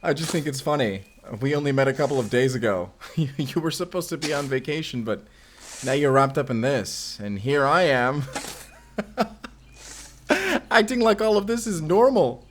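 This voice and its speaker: A man's voice: on the high side, expressive, moderate pace, a little hiss or hum, lightly acted. A man acting a mysterious vampire slayer, earnest and wryly awkward, in an intimate audio roleplay with casual, confiding delivery. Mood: amused, teasing. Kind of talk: cinematic audio roleplay acting, intimate one-sided dialogue.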